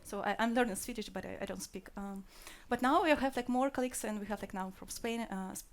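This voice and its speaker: Fast, halting woman panelist. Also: medium pitch. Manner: halting, restarting phrases.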